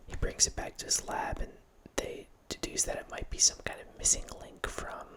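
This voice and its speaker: A man's voice: moderate pace, a bit bright. A man in his thirties whispering a casual, rambling talk close to a studio mic in a small home room, often restarting his sentences. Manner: rambling, self-correcting, casual. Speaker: host. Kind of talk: whispered ASMR horror film ramble. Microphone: studio mic close up, headphones.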